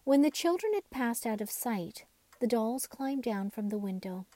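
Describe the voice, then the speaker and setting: A woman's voice: fairly high pitch, animated, moderate pace, mellow, no echo. A woman narrating a children's bedtime story from a script. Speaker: storyteller reading a children's book.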